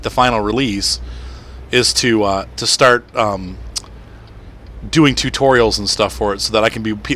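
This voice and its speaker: A man in his thirties chats his way through a driving game from home, rambling with plenty of ums and soft laughs. His voice has a bright, tense edge and a faintly crackly roughness, sitting at an ordinary pitch for a man. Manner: rambling, chatty, with frequent fillers and soft laughs. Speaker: gaming channel host. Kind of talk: casual live-stream gameplay commentary.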